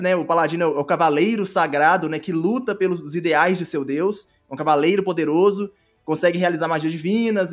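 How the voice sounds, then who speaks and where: A Brazilian man speaking as a podcast guest, explaining casually in a halting way, repeating words and often checking for agreement. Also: sits high. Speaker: podcast guest, audio drama creator.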